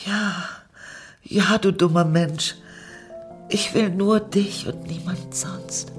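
A woman's voice: mid-range voice, fairly even intonation, slow pace, faint music behind, voice pushed up, natural voice. A woman voicing a lovesick, guilty woman in a dramatic story reading, pleading with breathless emotion. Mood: tearful, loving. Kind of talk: dramatic fan fiction reading.

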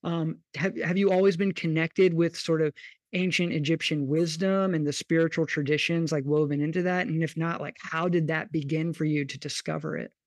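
A man's voice: a little high, steady tone, moderate pace, no room sound. A man hosting a podcast interview, asking long, curious, rambling questions full of "like". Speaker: podcast host. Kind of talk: casual interview.

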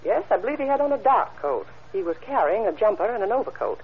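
A woman's voice: medium pitch, very animated, quick pace. An actress playing an observant, obliging woman giving careful witness testimony in an old-time radio crime drama. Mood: helpful, careful. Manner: careful, matter-of-fact, helpful. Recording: muffled old radio recording, background hiss.

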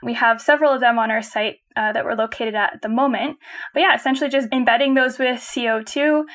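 A woman's voice: a little high, fairly even intonation, fast, a bit bright. A Canadian woman, a guest on a podcast, explaining her company's work in a friendly way and laughing easily. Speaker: guest, company chief of staff. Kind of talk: conversational interview.

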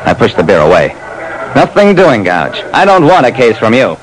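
A man's voice: mid-range voice, huge pitch swings, normal speed. An actor playing a tough private detective narrating his case in clipped, terse 1940s radio drama style, on a noisy old recording. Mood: defiant. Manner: clipped, terse, hard-boiled.

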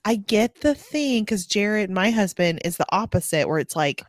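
A woman's voice: mid-range voice, normal speed, no echo. Chatty woman, close dry sound. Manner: chatty, animated storytelling with acted-out quotes. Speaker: book podcast co-host. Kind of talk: casual chat about a novel.

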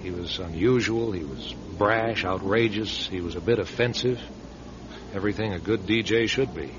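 A man's voice: lowish voice, normal speed, lightly acted. A man playing a wry, sardonic middle-aged radio station manager in a conversational radio drama style. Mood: wry reminiscing. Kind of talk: radio drama narration and dialogue. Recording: dull, hissy old radio recording.

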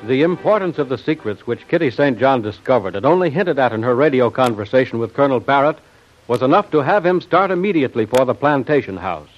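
A man's voice: mid-range voice, expressive, fast talker, faint music behind, close to an everyday voice. A man acting as the dramatic narrator of an old radio adventure serial, reading scripted suspense. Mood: grave, suspenseful. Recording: dull old radio recording.

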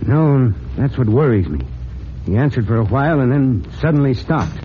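An American man playing a steady, fatherly fire chief, deliberate and commanding, in old-time radio drama style. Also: medium pitch, faint music behind, natural voice. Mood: grim. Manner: deliberate, authoritative. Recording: muffled, noisy old radio recording.

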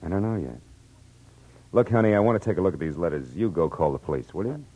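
A man's voice: deep voice, lively intonation, quick pace, dull audio. An American man playing a tough, world-weary private detective in 1940s radio drama, clipped and matter-of-fact. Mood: gently soothing. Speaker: lead actor and narrator. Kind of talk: 1940s radio acting, first-person narration and dialogue. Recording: vintage radio drama with music.

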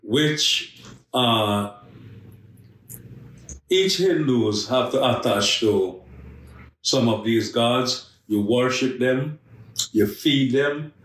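Slow earnest guy telling his story, super echoey room, kinda far from the mic. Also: mid-range voice, lively intonation, a bit bright. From Guyana. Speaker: missionary guest. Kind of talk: telling his life story in a podcast interview.

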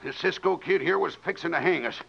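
An actor playing a slippery, taunting cowboy villain in an old radio western, with an American accent and folksy phrasing. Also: male voice, fairly high pitch, a little fast, creaky, breathy edge, full character voice. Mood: slyly complaining. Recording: noisy, muffled vintage radio recording.

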